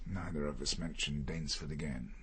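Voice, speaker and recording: An actor playing a reserved middle-aged barrister in a radio crime drama, speaking formally and gravely. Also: male voice, rumbling low, fairly even intonation, a little fast, a bit bright, heavily acted. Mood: guarded, reflective.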